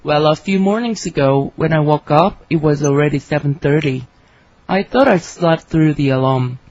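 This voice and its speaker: Woman, scripted conversation reading, clear careful delivery, dry dull studio sound. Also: low-pitched, normal speed, a little mellow, no room sound. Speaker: dialogue reader for English learners.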